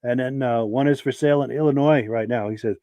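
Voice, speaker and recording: A man hosting a podcast in a relaxed, chatty way, reading out viewer comments while his voice is hoarse from a sore throat. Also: mid-range voice, fast, no room sound. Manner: relaxed, chatty, affirming with yeahs. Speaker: podcast host.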